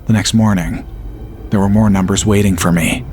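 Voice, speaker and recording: A man reading a first-person horror story as a podcast narrator, in a measured, unhurried way. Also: lowish voice, steady tone, darkish tone, faint music behind.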